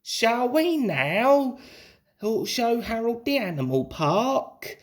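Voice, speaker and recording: A woman's voice: on the high side, sing-song, takes it slowly, voice pushed up. A woman voicing an eager, cheeky young male tank engine in a children's storybook. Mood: upbeat.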